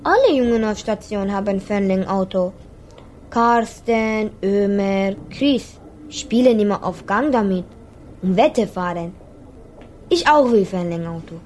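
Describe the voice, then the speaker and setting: A woman's voice: medium pitch, expressive, measured pace, a little mellow, slight background noise, natural voice, rough recording. A woman playing a young Afghan boy in a radio play, a Dari speaker with an Afghan accent speaking simple broken German. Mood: wistful, eager. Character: young Afghan boy, sick in hospital, brave but wanting.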